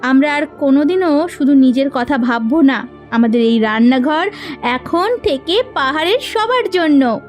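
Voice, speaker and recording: A woman's voice: high voice, animated, a little slow, soft background music. Woman voicing a stern, indignant elder sister. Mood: earnest. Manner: indignant, scolding. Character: stern elder sister running a hill kitchen. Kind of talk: cartoon voice acting.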